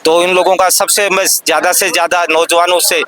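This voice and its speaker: A man's voice: sits high, level tone, fairly quick, crowd noise. A man at a protest speaking urgently, rallying young people to join.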